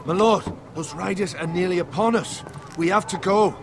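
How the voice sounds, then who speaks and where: A man voice acting a loyal, cautious retainer in a fantasy game drama, gravelly, deferential and watchful toward his lord. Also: lowish voice, animated, normal speed, background noise. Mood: urgent.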